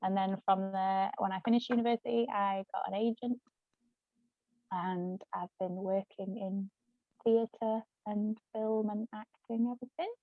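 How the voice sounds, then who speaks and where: A woman's voice: mid-range voice, fairly even intonation, unhurried, no room sound. A woman guest chatting casually and telling anecdotes about her own work. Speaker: guest, actress and author. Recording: thin phone-like audio, dry.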